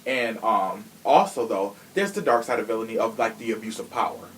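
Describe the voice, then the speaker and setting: A man's voice: lowish voice, lively intonation, a little fast, a bit bright, poor audio. A man hosting a podcast in a casual chat, with a sassy, slangy and opinionated way of talking. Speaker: podcast host.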